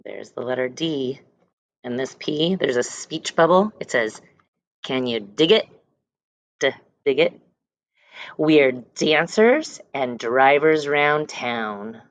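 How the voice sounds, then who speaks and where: A woman's voice: very deep voice, expressive, slow, long pauses, dry recording, low-quality recording. A woman teacher reading a picture book aloud to young children, stressing words and letter sounds and adding short explanations. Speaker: elementary school teacher reading aloud to class.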